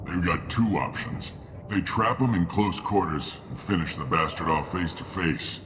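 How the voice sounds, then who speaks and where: An actor playing a gruff, cynical male mercenary sergeant and mechanic in a video game, with a curt, dismissive manner. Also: deep voice, moderate pace, a bit bright. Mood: matter-of-fact. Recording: clear background noise.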